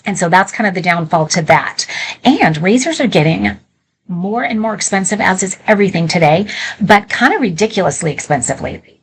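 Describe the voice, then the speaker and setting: Female live shopping host, mid-pitched voice, informal and candid product demo with chatty asides. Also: animated, fairly quick, creaky, slight background noise.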